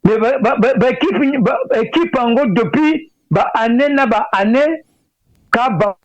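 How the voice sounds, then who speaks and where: A middle-aged man with a Congolese French accent and a notably high voice. He talks as an interview guest, stopping and repeating words as he searches for the next one. The sound is dry and slightly dull, like lo-fi radio. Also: lively intonation, no room sound. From Brazzaville, Republic of the Congo. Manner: hesitant, halting delivery with repeated words. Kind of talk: spoken interview, opinionated discussion.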